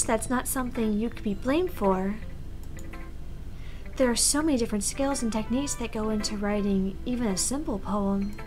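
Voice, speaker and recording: A woman's voice: mid-range voice, natural voice. A woman voicing a polite, bookish teenage girl from a visual novel, careful and hesitant in manner. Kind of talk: voiced reading of visual novel dialogue.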